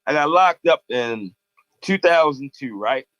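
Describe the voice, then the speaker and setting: A man's voice: on the high side, lively intonation, measured pace, a bit bright, dry recording. A man in his thirties with an American accent, chatting earnestly in slangy, casual speech over a lo-fi video call. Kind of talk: casual chat, anecdotal. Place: indoor room, video call. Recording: dull lo-fi video call audio.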